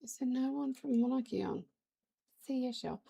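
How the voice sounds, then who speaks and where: A woman live streamer with the flu, hoarse, chatting casually and rambling to her viewers. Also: high-pitched, moderate pace, mellow.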